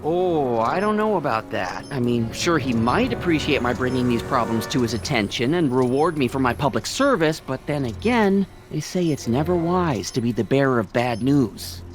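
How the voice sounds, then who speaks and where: A man's voice: medium pitch, lively intonation, normal speed, hardly any pauses, faint music behind, close to an everyday voice. An actor playing a meek, middle-aged American small-town father in a video game, earnest, folksy and prim. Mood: timid, cautious. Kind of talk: animated video game dialogue acting.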